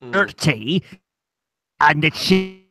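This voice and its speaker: A woman's voice: low-pitched, lively intonation, drawn-out words, sharp tone, dry recording, clean studio sound, pitched below the performer's own voice, heavily acted. A woman playing a cheeky, insistent little boy in a comic radio play. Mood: pestering. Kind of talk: comic live radio drama.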